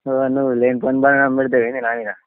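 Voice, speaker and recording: A man's voice: mid-range voice, little pitch movement, quick pace, a little hiss or hum. A man with a Telangana Telugu accent chats casually on the phone, blunt, wary and full of questions. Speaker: friend receiving a prank phone call. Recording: tinny, dry, band-limited phone line.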